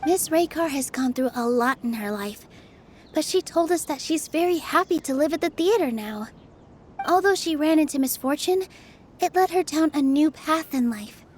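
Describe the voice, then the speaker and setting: An actress voicing a kind young woman dancer in a video game, speaking in a gentle, sympathetic and encouraging way. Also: sits high, expressive, moderate pace, slight background noise. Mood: earnest.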